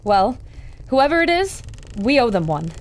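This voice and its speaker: An inquisitive, earnest adventuress played by a woman for a pulp audio drama. Her voice sits high and moves expressively, at an unhurried pace. She sounds full of wonder. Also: sing-song. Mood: curious.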